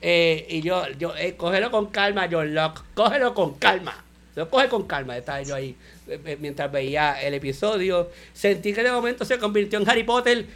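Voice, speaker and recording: A man, co-hosting a casual show review chat, animated and mixing in English words. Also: high-pitched, normal speed. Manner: animated, mixes English words, laughs often. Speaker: podcast co-host.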